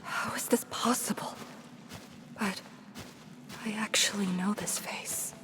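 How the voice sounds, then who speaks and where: An actress playing a haunted, wistful young woman in a video game, speaking softly and hesitantly in a breathy near-whisper. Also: medium pitch, fairly even intonation, close to an everyday voice. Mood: shaken. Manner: slow, hesitant, pensive. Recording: music behind the voice. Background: background music.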